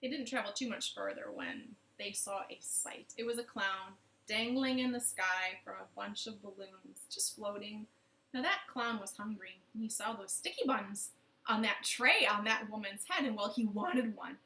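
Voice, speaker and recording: A woman's voice: mid-range voice, normal speed, pushed and bright, slight background noise. A woman telling a children's story expressively from her living room, speaking directly to young listeners. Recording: roomy, echoing home living room.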